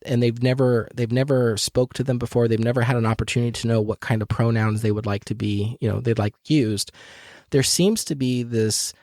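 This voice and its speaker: A man's voice: medium pitch, a little mellow, no echo, clean studio sound. A man co-hosting a podcast, asking a long, winding question with many hesitant fillers. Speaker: podcast co-host. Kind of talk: interview questions.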